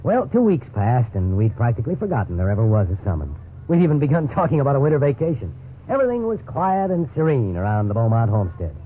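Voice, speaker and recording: A man's voice: medium pitch, huge pitch swings, fast. A middle-aged family man in trouble, earnest and rueful, telling his story in a folksy 1950s radio drama style. The sound is thin and narrow like a telephone, with faint noise under it. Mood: wry, rueful.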